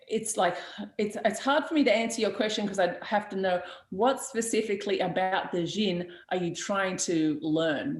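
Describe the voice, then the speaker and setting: Chatty woman in an echoey room. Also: mid-range voice. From Australia. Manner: blunt, chatty, laughs often, many fillers and restarts. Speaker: witchcraft talk show host. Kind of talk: casual chat answering viewer questions. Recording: roomy echo.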